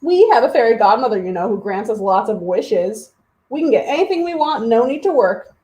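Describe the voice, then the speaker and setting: Entitled, incredulous idle brother for a fairy tale, read by a woman, with a mellow edge. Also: a little high, big pitch swings, brisk. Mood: boastful. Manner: haughty, incredulous. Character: Jamie's lazy, snobbish, entitled brothers. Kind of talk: storybook character voice.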